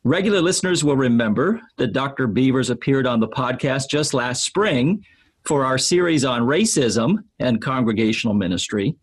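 A man hosting a podcast who reads the show's introduction in a measured, formal way. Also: mid-range voice, expressive, husky. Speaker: podcast host. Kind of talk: scripted show introduction.

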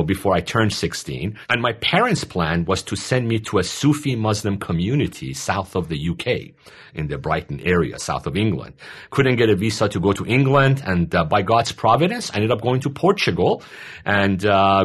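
A man in his forties from Iran, a guest in an interview, telling his story in a measured, explanatory way. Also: sits low, fairly bright tone, slightly rough. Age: 40s. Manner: measured, explanatory, with frequent uh fillers. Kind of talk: interview conversation.

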